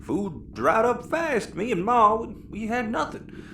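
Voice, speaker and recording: An actor playing a slyly menacing, folksy space cannibal with a Southern US accent in an audio comedy. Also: male voice, extremely high pitch, big pitch swings, measured pace, full character voice. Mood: grim reminiscing. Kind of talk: sci-fi audio comedy acting. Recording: roomy, noisy ambience.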